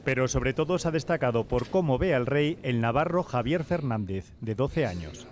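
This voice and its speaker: A man's voice: medium pitch, lively intonation, normal speed. A man narrating a scripted news report.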